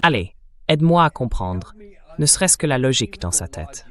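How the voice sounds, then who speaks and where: A male interview host speaks in an AI-generated voice of average pitch. His style is conversational political talk, full of probing questions put with some incredulity. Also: very animated, moderate pace, fairly bright tone, dry recording. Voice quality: AI-generated translation voice.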